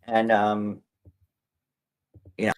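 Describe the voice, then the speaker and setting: A man with a somewhat low voice talks casually on a livestream. He rambles and swears casually. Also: completely flat delivery, extremely slow, clear, resonant tone.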